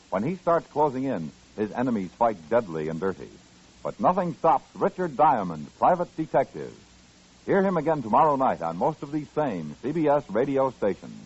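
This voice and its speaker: Husky, animated male radio announcer. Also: medium pitch. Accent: American. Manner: emphatic, polished. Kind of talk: dramatic 1950s radio promo announcing. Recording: muffled old-time radio recording.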